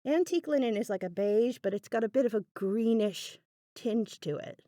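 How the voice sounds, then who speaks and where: A woman's voice: a little high, lively intonation, moderate pace, dark tone, dry recording, clean studio sound. A woman giving a casual craft tutorial voiceover, chatty and thinking aloud as she goes. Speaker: craft channel host.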